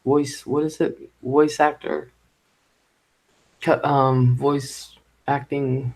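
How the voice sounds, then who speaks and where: Male live-stream host, mid-range voice, halting and rambling delivery. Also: mid-range voice, level tone, vocal fry, a little mellow, poor audio. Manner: halting and rambling, with many fillers. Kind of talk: casual live chat. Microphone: home microphone.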